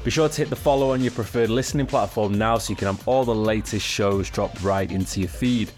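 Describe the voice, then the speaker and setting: A man's voice: on the low side, brisk, faint music behind. A man hosting a football podcast, presenting and discussing the sport with a co-host.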